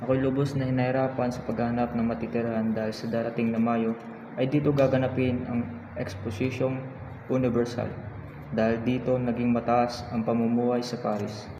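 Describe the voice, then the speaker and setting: An earnest young hero recounts his life in a radio drama acted by a man with a Filipino accent. His delivery is very even, and the sound is noisy and echoes like a big hall. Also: medium pitch, a little fast, highs cut off, close to an everyday voice. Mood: earnest, solemn. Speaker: student actor in a radio drama. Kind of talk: scripted first-person narration in a student radio drama.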